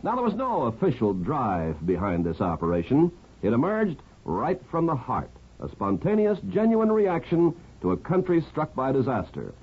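Casting: male American announcer, vintage radio host, very wide expressive intonation, tinny broadcast sound. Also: medium pitch, normal speed, breathy edge. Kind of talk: 1950s scripted radio narration.

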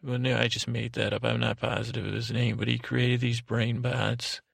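A man with a heavily gravelly, fry-laden voice hosts a sleep podcast in a close, dry recording. He meanders through a deadpan bedtime monologue with little rise and fall in pitch, hesitating and correcting himself as he goes. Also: moderate pace, no echo. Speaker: podcast host.

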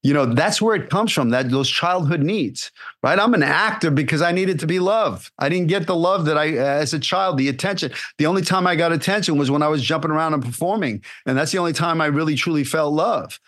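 Blunt, animated man coaching actors. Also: a little high, brisk. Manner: animated, talks straight to the listener with frequent rhetorical questions; casual and blunt, with repeated fillers and restarts. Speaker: acting coach. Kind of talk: solo podcast advice.

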